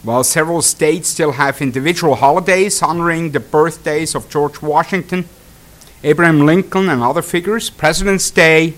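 Swiss-accented man preaching with halting fillers. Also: fairly high pitch, fairly bright tone. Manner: hesitant, many fillers and restarts. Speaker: traveling pastor. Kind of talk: sermon. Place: congregation hall.

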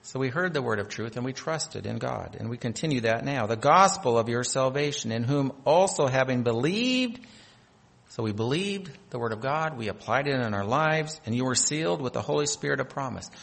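A man's voice: mid-range voice, expressive, moderate pace, vocal fry, a bit bright, long reverb tail. A man from North Dakota preaching a sermon, reading scripture aloud with rising emphasis on key phrases. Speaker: pastor.

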